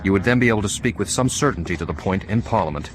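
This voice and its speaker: An actor playing a kindly, measured reverend in a period radio drama, speaking formally and reassuringly. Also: male voice, low voice, animated, slight background noise. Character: kindly reverend bringing news to prisoners.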